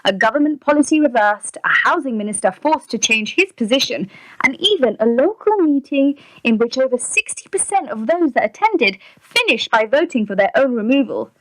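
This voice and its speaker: A woman's voice: high-pitched, animated, moderate pace, dry recording, rough recording. A woman acting as a composed news reporter delivering a broadcast report within a radio drama. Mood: measured, wry. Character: composed female news reporter summing up politics.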